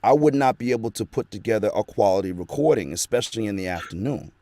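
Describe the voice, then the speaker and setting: A man's voice: fairly low pitch, expressive, fast talker, mellow. A man who works as a voice actor, talking earnestly and at length as a guest in a casual interview, recorded in a small home studio. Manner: earnest, rambling storytelling.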